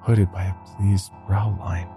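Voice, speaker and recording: Male narrator for first-person horror fiction: very low register, unhurried, somber, faint music underneath. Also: level tone. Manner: slow, deliberate, somber delivery.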